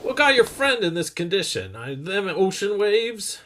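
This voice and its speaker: A performer playing a blunt, deadpan porter in an old-time radio comedy. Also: mid-range voice, moderate pace.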